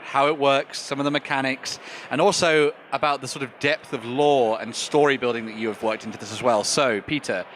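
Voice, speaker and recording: A man interviewing a game designer at a convention, with a smooth, upbeat presenter manner. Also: medium pitch, fairly even intonation, fairly quick, fairly bright tone, a little hiss or hum.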